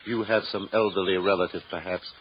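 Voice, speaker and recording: An actor playing an overly pleasant middle-aged male rest-home owner, genteel and smoothly salesy, in a 1950s radio drama. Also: deep voice, fairly even intonation, fairly quick, lightly acted. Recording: dull, noisy old radio broadcast.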